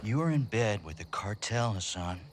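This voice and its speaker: Deep, swaggering American commander. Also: male voice, lively intonation, normal speed. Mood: menacing. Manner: folksy, taunting, foul-mouthed. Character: cocky, menacing American military contractor commander.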